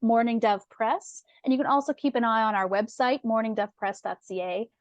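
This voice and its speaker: A woman with a slightly high voice hosts an author interview. Her delivery is chatty and affirming, captured in a dry room with very little echo. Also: steady tone, moderate pace. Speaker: interviewer and host from a book publisher. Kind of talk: conversational interview hosting.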